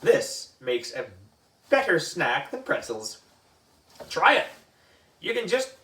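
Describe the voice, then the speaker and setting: Man reading as eccentric male science teacher, calm, matter-of-fact, slow, storybook, echoey. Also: on the high side, recorded from a distance, full character voice. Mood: encouraging.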